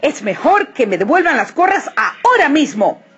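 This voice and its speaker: Woman voicing an exasperated, high-pitched male cap peddler. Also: sing-song, normal speed, muffled recording, soft background music. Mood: indignant. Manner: emphatic, indignant shouting.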